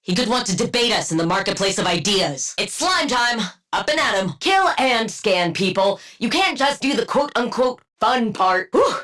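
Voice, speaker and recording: Casting: female game villain, genius scientist; clinical, condescending, sardonic, very animated intonation. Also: on the high side, moderate pace. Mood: wry, brisk. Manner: precise, clinical, condescending, dry wit. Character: brilliant, arrogant, sardonic woman supervillain scientist. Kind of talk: video game character voice lines.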